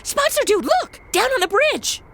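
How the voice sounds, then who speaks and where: A woman playing a sarcastic, excitable young amateur sleuth in a comedy audio drama, with a flippant, exclamatory delivery. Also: very high voice, normal speed, gravelly, slight background noise, exaggerated voice.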